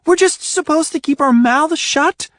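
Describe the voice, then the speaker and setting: A woman doing Ruby, a shrill, scolding young heroine. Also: measured pace, no room sound, studio quality. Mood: exasperated. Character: fiery young superhero woman.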